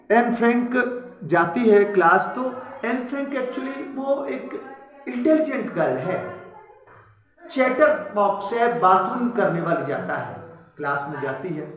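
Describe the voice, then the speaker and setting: A man with a high-pitched voice teaches a class by retelling a story, animated and full of sudden emphatic rises and restarts. His voice rings in an echoey room. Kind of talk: Hindi lecture retelling a story for students.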